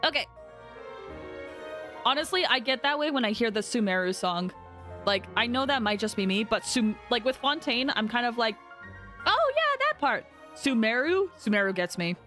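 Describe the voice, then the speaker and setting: A woman chatting casually on a game stream, giving animated reactions to what she sees. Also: a little high, normal speed. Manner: chatty, animated reactions, breaks into singing and laughter. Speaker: livestreamer. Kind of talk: casual live gaming commentary with chat. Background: game music.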